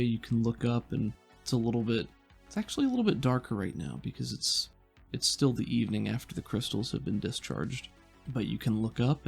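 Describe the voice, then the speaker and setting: A man's voice: mid-range voice, creaky, husky. A man acting as game master, narrating scenes in a tabletop roleplaying game.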